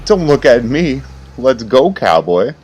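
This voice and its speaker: A man's voice: mid-range voice, sing-song, slow, a little mellow, husky, pitched above the performer's own voice, full character voice, low-quality recording. A man voicing a younger, sardonic female detective with a dry, mocking way of talking.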